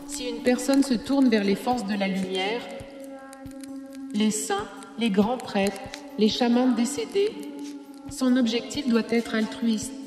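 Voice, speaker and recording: A woman playing a calm, solemn spiritual guide in a cartoon, teaching in a measured, didactic way. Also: mid-range voice, natural voice. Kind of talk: solemn instructive dubbed cartoon dialogue. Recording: echoey reverberant hall, music behind.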